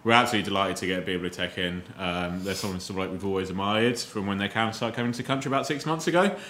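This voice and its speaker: A man's voice: low voice, rapid-fire, pushed and bright, slight background noise. A man who runs a beer shop, talking in a jokey, chatty way. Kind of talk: casual chat. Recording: echoey room.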